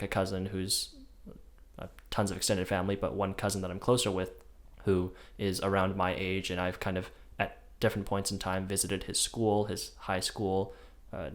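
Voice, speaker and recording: Young adult male host, lowish pitch, easygoing conversational delivery. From China. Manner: relaxed, conversational, rhetorical "right?". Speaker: podcast host. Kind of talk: casual podcast chat.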